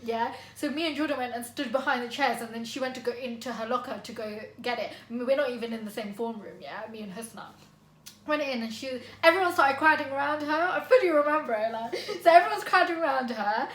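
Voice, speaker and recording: Bright-voiced young woman vlogger. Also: fairly high pitch, fast talker, reverberant, low-quality recording. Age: 20s. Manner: animated storytelling, laughing often. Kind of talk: casual sibling chat.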